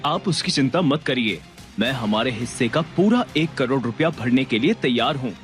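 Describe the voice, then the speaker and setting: An actor playing a self-assured, calmly defiant husband in an audio drama. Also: male voice, mid-range voice, moderate pace, soft background music, close to an everyday voice. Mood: calm, firm. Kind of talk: Hindi audio drama acting.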